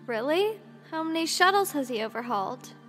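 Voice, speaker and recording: High-voiced, stubborn engineer in reverb. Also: female voice, sing-song, brisk, fairly bright tone, faint music behind. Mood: firm, exasperated. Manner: exacting, argumentative. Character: cautious, stubborn woman shuttle engineer. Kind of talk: audio drama acting.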